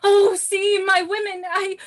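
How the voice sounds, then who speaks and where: A woman's voice: very high-pitched, level tone, takes it slowly. A woman playing a grieving, imperious queen and lover in a Shakespearean radio play.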